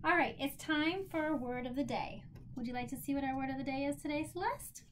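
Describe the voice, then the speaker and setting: A woman's voice: sits high, brisk, a little hiss or hum. A woman teaching children in an encouraging, simply worded way, like a teacher leading a lesson and read-aloud. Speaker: special education teacher.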